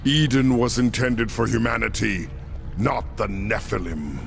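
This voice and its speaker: A cold, stern otherworldly commander from a game. The voice is gravelly and slow, giving solemn orders over music. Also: male voice, fairly low pitch. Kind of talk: video game cutscene acting.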